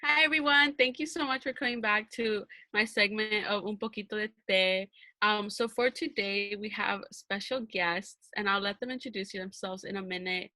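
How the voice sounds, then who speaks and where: Bright-voiced young woman hosting a podcast. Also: medium pitch, fairly even intonation, normal speed, no echo. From Arizona, USA. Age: young adult. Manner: bubbly, laughs often, says um and like. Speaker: podcast host. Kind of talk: casual podcast chat on a video call.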